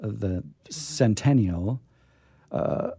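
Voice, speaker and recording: Casting: American male radio host, soft-edged warm tone, dry studio sound, keen but rambling and halting casual talk. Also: lowish voice, expressive, gravelly, slightly rough, dry recording. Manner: halting, discursive, with emphatic repetition. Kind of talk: casual book chat.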